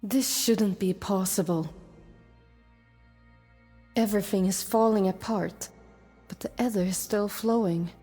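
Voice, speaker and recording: A woman's voice: medium pitch, measured pace, long pauses, dark tone, slightly rough. A woman playing an imperious Norse goddess of war in a visual novel, with a disdainful, commanding manner. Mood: alarmed. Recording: long reverb, music behind.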